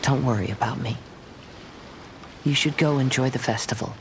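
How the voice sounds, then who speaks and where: A man playing a calm, poetic young wandering swordsman in a video game, measured and reflective. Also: medium pitch, gravelly, noisy background, natural voice. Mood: reflective, serene. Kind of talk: video game character dialogue. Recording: game music behind.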